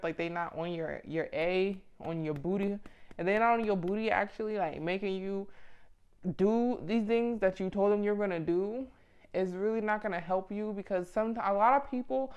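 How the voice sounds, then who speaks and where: A woman's voice: medium pitch, a little mellow, clear, resonant tone, muffled recording. A woman in her mid twenties chatting casually and motivationally to camera, with a blunt, informal, slangy manner. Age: mid 20s. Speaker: vlogger giving self-improvement advice.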